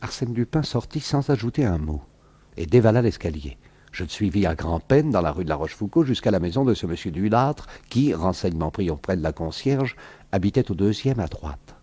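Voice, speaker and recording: An actor playing a detective's sober gentleman companion and narrator in a French radio mystery, speaking in a measured way. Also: low voice, expressive, husky.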